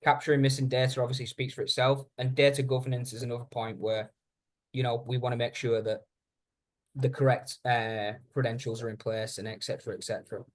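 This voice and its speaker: A man presenting a software training webinar in a conversational, informal way, speaking directly to his listeners. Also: mid-range voice, level tone, a little fast.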